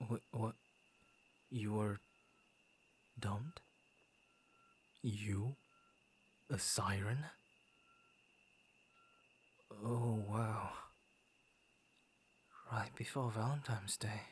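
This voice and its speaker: A man playing a gentle, shy young werewolf in an intimate ASMR roleplay, speaking softly and breathily, often near a whisper, with hesitant stammers. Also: fairly low pitch, steady tone, very slow, long pauses, husky, full character voice. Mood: surprised, awkward. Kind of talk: ASMR roleplay, intimate soft-spoken acting.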